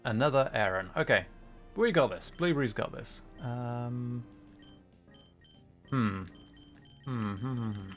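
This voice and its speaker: A man hosting a gaming livestream, chatting casually and musing aloud in a halting way. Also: mid-range voice, soft background music. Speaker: livestream host.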